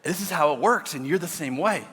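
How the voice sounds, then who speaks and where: A middle-aged male pastor with a loud voice preaching a sermon, swinging from loud emphasis to quiet, intimate asides. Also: fairly high pitch, lively intonation, fairly quick, slightly rough. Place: large church auditorium. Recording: reverberant hall with faint music behind.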